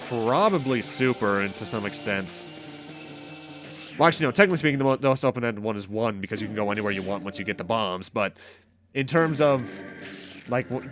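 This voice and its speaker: A man giving casual, rambling let's play commentary, explaining things and correcting himself as he goes. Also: medium pitch. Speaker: host of a video game playthrough series. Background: game music.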